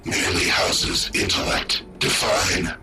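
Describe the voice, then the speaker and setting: A man voicing an ancient war-machine AI, speaking in terse, flat commands through a processed machine voice. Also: slow pace. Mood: cold, commanding.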